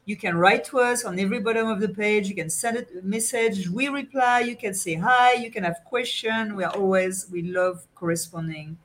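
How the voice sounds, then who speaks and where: A woman's voice: medium pitch, moderate pace, hardly any pauses. A woman with a French accent chatting casually as a guest on a radio show. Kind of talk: casual chat.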